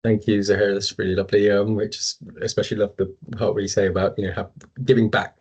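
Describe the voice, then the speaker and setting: A man's voice: lowish voice, flat delivery, fast, darkish tone, slightly rough. A man moderating a webinar panel in a polite, hesitant way, introducing each speaker. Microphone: video call.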